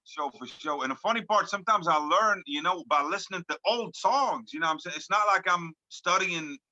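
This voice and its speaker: A man's voice: high voice, fairly quick, pushed and bright, no echo. A man in his thirties, a hip hop artist as guest, talking in a laid-back slangy way full of fillers over a video call. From born in France, grew up in Lebanon. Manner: laid-back slangy talk, many "you know what I'm saying" fillers. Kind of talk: casual video call interview. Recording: dull lo-fi video call audio.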